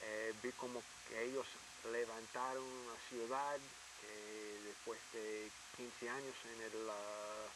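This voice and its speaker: A man's voice: on the low side, level tone, slow pace, few pauses. A man from Miami with an American English accent, a native English speaker answering in hesitant Spanish as an interview guest. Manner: hesitant, filler-heavy. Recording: hissy TV broadcast audio.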